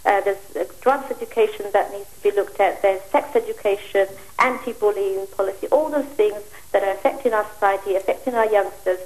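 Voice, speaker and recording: A woman calling a phone-in to give her opinion in an earnest, deliberate and rather formal way. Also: medium pitch, a little mellow, rough recording. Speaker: phone-in caller. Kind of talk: opinion on a live call.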